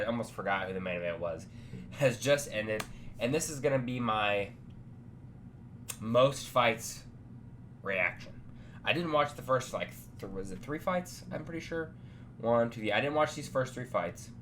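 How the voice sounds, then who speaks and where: A man's voice: medium pitch, expressive, moderate pace, a bit bright, a little hiss or hum. A young man with a stuffy, congested voice, giving a blunt, rambling reaction to a night of fights. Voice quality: nasal, stuffy. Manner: blunt, offhand, rambling with restarts. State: congested after sickness. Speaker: MMA fight reaction host. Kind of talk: casual post-fight reaction chat.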